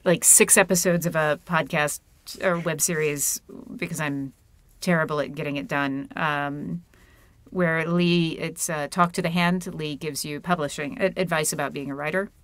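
A middle-aged woman chatting and explaining as an interview guest, animated, playful and self-deprecating. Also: low voice, moderate pace, dry recording. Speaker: guest puppeteer and author. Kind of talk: casual interview chat with explanations. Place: small room at home, video call.

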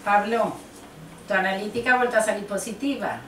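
A woman's voice: medium pitch, fairly quick, a bit bright, a little hiss or hum, lightly acted. Casting: middle-aged woman as a firm counselor, distant in a roomy office. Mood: gentle.

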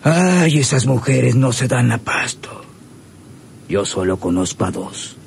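A man's voice: medium pitch, animated, gravelly. An actor playing a tender rural father who dotes on his daughter in a radio drama. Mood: tender, wistful. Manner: slow, affectionate. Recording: noisy old radio serial recording.

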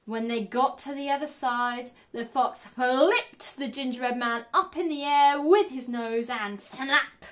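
A woman's voice: high-pitched, measured pace, few pauses, fairly bright tone. A young woman reading a children's storybook expressively as a teacher, recorded indoors a short way from the camera. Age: young adult. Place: small indoor room, sitting on a bed.

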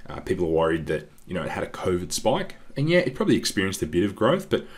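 A man hosting an investing channel, talking casually through slides with a congested voice, often calling his viewers "guys" and filling pauses with ums. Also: deep voice, sing-song. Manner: chatty, keeps saying "guys", frequent ums. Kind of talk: casual stock analysis over slides. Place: voice-over on screen-share slides.

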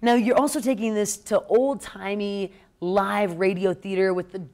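An American woman speaks as a morning show host in a mid-pitched voice. She chats in a polished, upbeat broadcast style and reacts with open enthusiasm to what she hears. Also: fairly even intonation, moderate pace, a little mellow. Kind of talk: upbeat broadcast interview chat. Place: TV studio.

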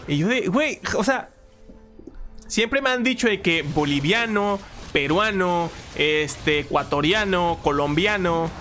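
A young man, chatting casually while playing a strategy game, reading chat and breaking into loud exclaims. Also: a little high. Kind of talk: casual live gameplay commentary. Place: small room at home. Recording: game music behind the voice.

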